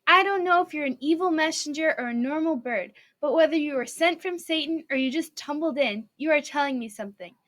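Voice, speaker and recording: A woman voicing an agitated, grieving man, crying out loudly and dramatically as in a story reading. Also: high voice, a little fast, hardly any pauses, pitched above the performer's own voice. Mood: desperate pleading.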